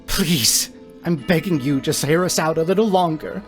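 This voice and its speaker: A man puts on a timid tabletop-game character who begs to be heard. The voice is lifted high and stammers through desperate pleas, over faint music. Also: lightly acted. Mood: timid pleading. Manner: pleading, halting, stammering. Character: timid, desperate citizen pleading for a hearing. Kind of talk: tabletop roleplay character acting.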